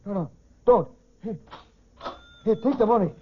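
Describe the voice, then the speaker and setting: An actor playing a frightened, excitable storekeeper with urgent, alarmed exclamations in a 1940s radio crime drama. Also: male voice, sits high, normal speed, close to an everyday voice. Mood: panicked. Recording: noisy, muffled vintage radio recording.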